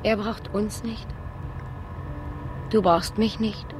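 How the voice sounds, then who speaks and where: A woman's voice: mid-range voice, steady tone, slow, music in the background, natural voice. An actress playing a defiant, troubled woman teacher in a radio drama, pointed and emotive.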